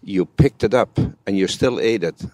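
A man's voice: on the low side, normal speed, darkish tone, no room sound. A man in his seventies with a Dutch accent, chatting casually as a guest in a matter-of-fact way, in slightly broken English. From Netherlands. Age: 70s. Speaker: guest, amateur film actor. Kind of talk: casual conversation.

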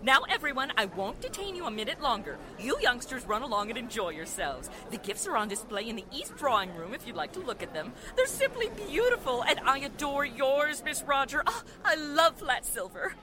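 Gushing, swooping high-voiced society matron. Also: female voice, sing-song, a little fast, hardly any pauses, crowd noise. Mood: chattering, hostessy. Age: middle-aged. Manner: effusive, chattering, theatrical. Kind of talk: radio drama acting in 1940s manner.

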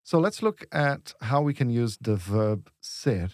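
A man teaches Portuguese, patiently walking through each point with examples. His voice sits at a medium pitch. The recording is dry and close, with no room sound. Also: expressive, a little slow, no room sound. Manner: patient, explains step by step with examples. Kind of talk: language lesson dialogue.

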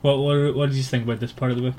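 A man casually discussing a book as a podcast co-host, often halting and repeating words. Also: mid-range voice, little pitch movement, darkish tone.

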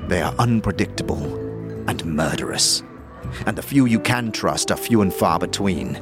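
A man's voice: low-pitched, expressive, normal speed. A man playing a world-weary, grave traveler in a fantasy audio drama, speaking formally and ominously. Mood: grave warning. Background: prominent background music.

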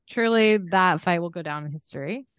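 Female podcast co-host, lowish smooth voice, close dry sound, candid, giggly and loose comedic banter. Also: measured pace, no room sound. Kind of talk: casual comedic chat.

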